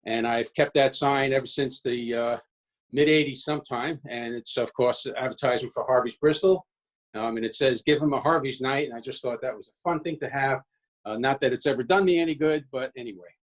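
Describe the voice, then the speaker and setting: A man's voice: medium pitch, a little fast. A man in his sixties with a New York accent, giving a casual, unscripted workshop tour in a hesitant, wryly self-deprecating way. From Brooklyn, New York City. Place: home basement-like workshop, indoors. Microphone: webcam, later handheld phone.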